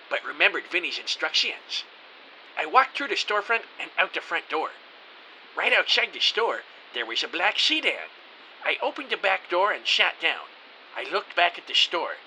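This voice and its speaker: Man playing an animated, high-voiced everyman narrator. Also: heavily acted, rough recording. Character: hapless, anxious everyman narrating his kidnapping. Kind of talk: dramatic first-person scripted narration. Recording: faint hiss.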